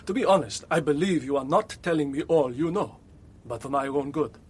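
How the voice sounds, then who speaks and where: A man's voice: on the high side, fairly quick, a little mellow, a little hiss or hum, lightly acted. A man playing a proud, suspicious, obstinate ship's captain in a radio drama, blunt and wary, talking in short probing phrases.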